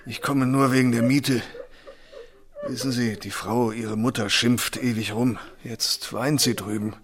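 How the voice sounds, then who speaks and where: An actor playing a breezy, generous, blustery middle-aged gentleman in a German radio drama, blunt and jovial. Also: medium pitch, steady tone, measured pace, a crowd in the background, lightly acted. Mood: confiding.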